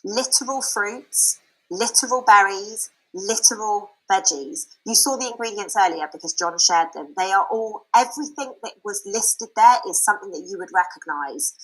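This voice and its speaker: A woman giving a frank, chatty personal testimony that turns into a sales pitch, with many fillers and restarts. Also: a bit bright, slightly rough, poor audio. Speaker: speaker sharing a product testimonial.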